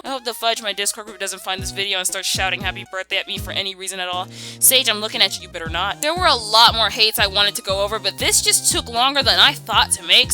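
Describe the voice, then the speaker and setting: A woman's voice: on the high side, sharp tone. A young woman delivering a casual scripted rant in an exasperated, sassy and opinionated way. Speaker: video creator.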